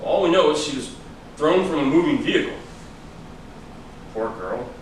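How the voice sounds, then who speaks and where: A man's voice: a little high, expressive, normal speed, natural voice. A man playing a teasing, probing detective in a staged reading, speaking in a conversational, musing way. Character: male detective, teasing, probing friend of another investigator. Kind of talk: stage acting at a script reading. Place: large room, staged reading recorded from afar. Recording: echoey room, far from mic, noisy.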